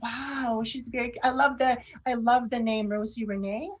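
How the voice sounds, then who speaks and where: A woman's voice: a little high, steady tone, normal speed, highs cut off. A woman co-hosting an online radio show in a casual, chatty style. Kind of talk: casual chat.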